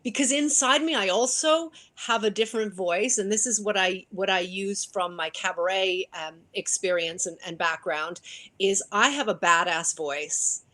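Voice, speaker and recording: A woman coach speaking in an interview over a video call, animated and laughing, with many restarts. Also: mid-range voice, normal speed. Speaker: business coach. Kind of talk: interview conversation.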